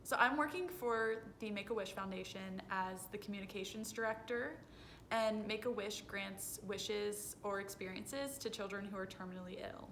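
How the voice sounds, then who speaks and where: A woman's voice: normal speed, fairly bright tone. Young woman interviewee, noisy phone recording. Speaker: interviewee, foundation communications director. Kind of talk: rehearsed on-camera interview answers. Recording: phone video with noisy low rumble.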